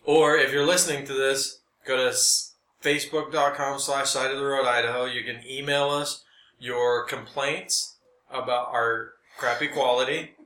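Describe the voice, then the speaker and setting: A man's voice: medium pitch, flat delivery, normal speed, bright, forceful tone, roomy sound. An American man co-hosting a casual comedy podcast with dry, self-deprecating humor. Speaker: podcast co-host.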